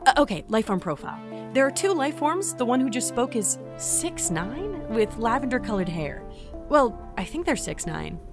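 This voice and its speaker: A woman's voice: a little high, very animated, normal speed, music in the background. A woman playing a brash, inquisitive young field agent in an audio drama, talking informally and with animation. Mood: curious, observing.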